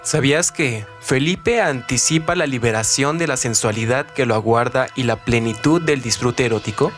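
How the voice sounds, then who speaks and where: A man's voice: mid-range voice, normal speed, a bit bright, faint music behind. A man narrating scripted literary commentary in a formal, rhetorical manner.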